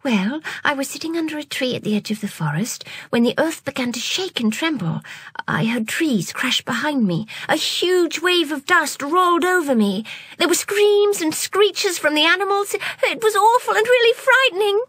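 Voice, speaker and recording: A woman voicing a frightened, excitable young girl who cries out breathlessly in alarm in a storybook reading. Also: normal speed, husky, no echo, full character voice. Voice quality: high, shrill and breathless.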